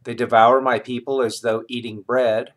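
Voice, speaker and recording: A man reading scripture aloud in a solemn, deliberate manner, with measured phrases and pauses. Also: mid-range voice, level tone. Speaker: scripture reader.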